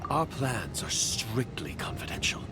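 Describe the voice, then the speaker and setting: Suspicious secret agent for a game: guarded, formal, mid-pitched with varied intonation over loud music. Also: male voice, big pitch swings, measured pace. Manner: wary, formal, hushed at times. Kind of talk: animated game voice acting.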